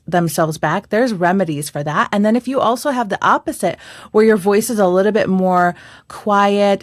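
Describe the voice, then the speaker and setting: Animated, laughing woman podcaster. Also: medium pitch, dry recording, clean studio sound. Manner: animated storyteller, frequent laughter and filler words. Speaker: podcast co-host, voice coach. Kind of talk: casual podcast chat.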